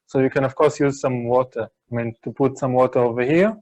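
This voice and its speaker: Halting man chatting while cooking. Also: medium pitch, steady tone, darkish tone, muffled recording. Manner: halting, many restarts and "I mean". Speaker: chef and Berlin food tour guide. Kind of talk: casual cooking chat.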